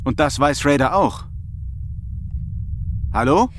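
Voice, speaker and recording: A man's voice: a little high, lively intonation, slow, a little hiss or hum. An actor playing a pressing, exasperated male crewmate on a spaceship in an audio drama. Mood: pressing, incredulous. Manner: pressing, questioning. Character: probing, exasperated male astronaut crewmate on a Mars ship. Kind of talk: German audio drama acting.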